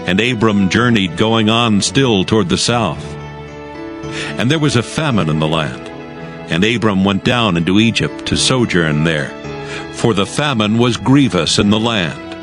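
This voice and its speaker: Low-voiced man narrating scripture over music. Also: expressive. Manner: solemn, measured storytelling.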